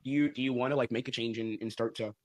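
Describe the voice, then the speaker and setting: A man's voice: mid-range voice, steady tone, fast, darkish tone, dry recording. A man in his late twenties in a probing, conversational sales call, working as the closer. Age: late 20s.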